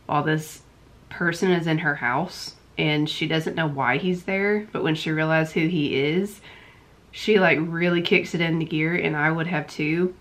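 Woman, 30s, stuffy nose, lower pitch, chatty emphatic book reviewer talking to a desk camera at home. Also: fairly bright tone. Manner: chatty and emphatic, with frequent repetitions. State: stuffy, congested. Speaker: book vlogger. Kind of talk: casual book review chat. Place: home room lined with bookshelves. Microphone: camera in front at desk distance.

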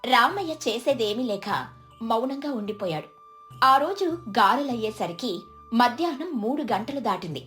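A woman's voice: a little high, animated, normal speed, a bit bright, soft background music. A woman narrating a scripted moral story as a storyteller.

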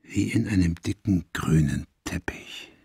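A man's voice: low voice, unhurried, dry recording, clean studio sound, exaggerated voice. An actor playing a paranoid, vengeful madman released from an asylum, volatile between whispering and cursing in a radio play. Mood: relieved, brooding. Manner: volatile, swinging from breathy whispers to loud cursing.

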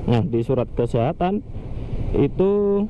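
A man's voice: fairly high pitch, lively intonation, measured pace. Chatty young man vlogging on a motorbike. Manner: chatty, loose, with fillers and self-corrections. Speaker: motovlogger. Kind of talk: casual vlog talk while riding. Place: riding a motorcycle on city streets outdoors. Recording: dull lo-fi sound with loud traffic and engine noise. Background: road traffic and motorbike engine.

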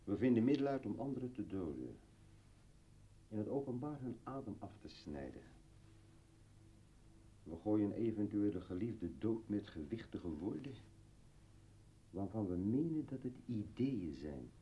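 An actor playing a brooding, philosophical old man with bitter irony in a declamatory, halting radio play monologue. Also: lowish voice, sing-song, slight background noise. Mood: somber, reflective. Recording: dull vintage radio drama recording.

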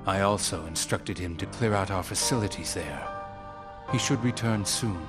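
Stern male villain lieutenant played by a man, very low voice, formal, humorless, under loud game music. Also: moderate pace, lightly acted. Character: cold, stern male second-in-command. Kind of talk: video game cutscene acting.

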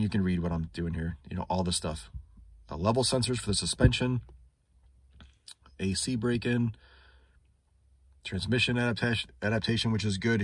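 A man doing a casual, unscripted product demonstration as an automotive technician, thinking aloud in a conversational way. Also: on the low side. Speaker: automotive technician reviewing a scan tool. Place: inside a parked car in a shop.

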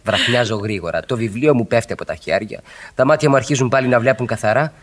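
A man's voice: medium pitch, close to an everyday voice. An actor playing a restless, eloquent romantic husband in his thirties in a 1960s radio play, with rhetorical, emphatic phrasing and repeated words. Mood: weary, bitter.

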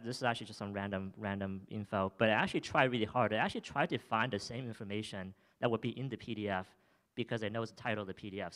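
A man's voice: lowish voice, fairly bright tone. A young man presenting his startup's product on a theater stage with a handheld mic, talking through a demo with many fillers and restarts, then answering audience questions. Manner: filler-heavy, frequent restarts, enthusiastic. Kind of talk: live demo talk and audience Q&A.

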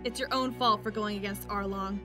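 A woman's voice: mid-range voice, steady tone, fairly quick, music in the background, lightly acted. A woman playing a scornful young female thief in a manga dub, speaking in a cold, firm, threatening way. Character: hard, scornful young woman thief hiding her care. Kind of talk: dramatic manga dub acting.

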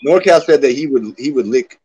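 A man's voice: mid-range voice, lively intonation, brisk, darkish tone, slightly rough. A man in his thirties hosting a casual livestream chat over a webcam, laid-back, laughing often and trailing off mid-thought. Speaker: streamer host. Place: small room indoors. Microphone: webcam on a video call. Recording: dull lo-fi stream audio with faint noise.

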